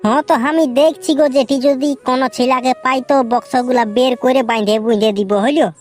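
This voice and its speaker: A woman's voice: high-pitched, fairly even intonation, fast talker, darkish tone, soft background music, close to an everyday voice. A woman voicing a brash, foul-mouthed young village man in a comic cartoon, with a rustic Purulia Bengali accent. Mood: obliging, grumbling. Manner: rustic, blunt, teasing.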